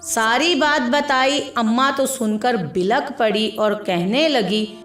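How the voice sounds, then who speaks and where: Slow-paced woman narrating, echoey, music behind. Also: fairly high pitch, fairly bright tone. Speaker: storyteller, narrator. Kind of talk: dramatic scripted story narration.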